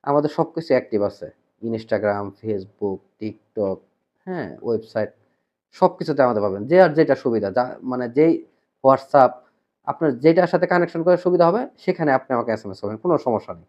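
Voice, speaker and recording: A muffled, lo-fi recording carries a Bangladeshi man's medium-pitched voice. He explains things informally, like a sales representative talking to customers. His delivery is conversational and repetitive, with English words mixed in. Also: animated, moderate pace, darkish tone. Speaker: shop representative presenting to customers.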